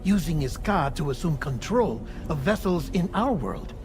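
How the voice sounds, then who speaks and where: An actor playing a matter-of-fact crew member in a sci-fi game. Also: male voice, on the high side, sing-song, normal speed, over music. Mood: analytical.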